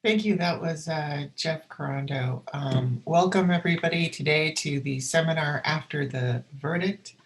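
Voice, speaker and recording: Hesitant gravelly voice opening a seminar. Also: medium pitch, moderate pace, few pauses. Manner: hesitant, with "um" fillers. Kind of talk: brief introduction. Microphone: video call.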